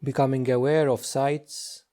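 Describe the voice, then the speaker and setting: Mellow, unhurried man guiding meditation. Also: medium pitch, level tone. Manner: slow, hushed, gentle delivery with long pauses. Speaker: meditation teacher. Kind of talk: guided meditation.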